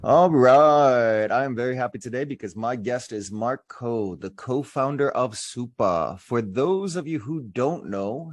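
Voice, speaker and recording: A man hosting a podcast, upbeat and enthusiastic as he introduces the show and questions his guest. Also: medium pitch. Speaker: podcast host. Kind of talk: scripted intro, then interview chat.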